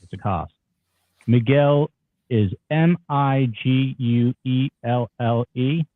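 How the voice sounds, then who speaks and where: A man's voice: medium pitch, takes it slowly, no room sound, phone-quality sound, natural voice. A man reading the part of a witness's attorney in a deposition, giving curt, clipped instructions. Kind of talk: dramatic reading of a deposition transcript.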